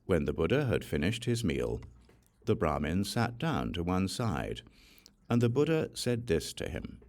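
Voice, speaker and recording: A very low male voice with a gravelly creak reads an ancient scripture aloud. He narrates in a deliberate, measured storytelling manner, with lively rises and falls in pitch. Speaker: audiobook reader.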